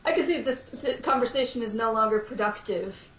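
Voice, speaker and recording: A woman speaking casually as an interview guest, thoughtful and halting as she explains. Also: a little high, a bit bright, reverberant. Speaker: podcast guest, audio drama maker.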